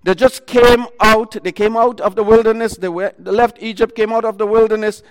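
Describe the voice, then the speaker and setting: High-voiced loud male preacher. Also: steady tone, brisk, clear, resonant tone, big-room sound. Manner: loud voice, talks in bursts with many fillers and restarts. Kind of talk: sermon retelling a Bible story.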